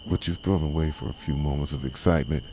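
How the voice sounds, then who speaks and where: A man playing a possessive, jilted boyfriend in an ASMR roleplay, speaking softly with bitter, deliberate intensity. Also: steady tone. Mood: cold, resentful. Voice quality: soft, low voice. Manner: intense, deliberate, reproachful dramatic delivery. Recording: clearly noisy, night insects and owl hoots.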